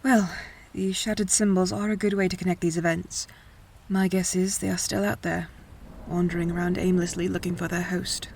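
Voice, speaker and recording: A woman's voice: lowish voice, level tone, moderate pace, creaky, a little mellow, a little hiss or hum. A woman acting a devoted, worried friend in a fantasy audio drama, speaking earnestly and carefully. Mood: thoughtful. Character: Nadia, devoted, worried magical female friend. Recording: music under the voice.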